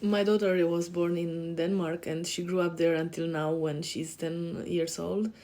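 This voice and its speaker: A woman in her forties with a Romanian accent, speaking in a low, mellow voice that stays fairly even in pitch. Her delivery is hesitant and punctuated by frequent pauses and fillers. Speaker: life coach and podcast co-host. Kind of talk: personal introduction on a podcast.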